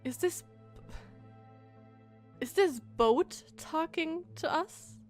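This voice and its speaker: Animated, high-voiced woman streaming a game. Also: drawn-out words, soft background music. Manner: animated, incredulous, asks many questions. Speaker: streamer reacting to a video game. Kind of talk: casual live game commentary.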